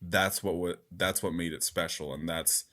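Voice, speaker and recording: A man's voice: sits low, fairly even intonation, moderate pace, fairly bright tone. A man co-hosting a podcast in loose, rambling, informal chat, with some swearing. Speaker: podcast co-host. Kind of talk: casual gaming podcast chat.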